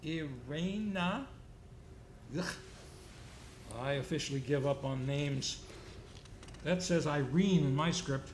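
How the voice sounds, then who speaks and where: A man's voice: a little high, takes it slowly, pauses often. Theatrical male radio host, noisy live recording. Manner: theatrical announcing, playfully comic. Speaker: series host and announcer. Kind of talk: live old-time radio show introduction, scripted. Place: theater stage before a live audience.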